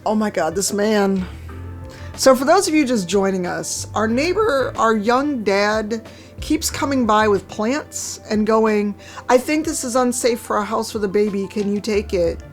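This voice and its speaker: A woman streaming a game, chatting casually with a hoarse, croaky voice and a wry, chatty manner. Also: mid-range voice, lively intonation, a little slow, faint music behind. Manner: chatty, wry, reads chat aloud. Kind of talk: casual live-stream chat while gaming.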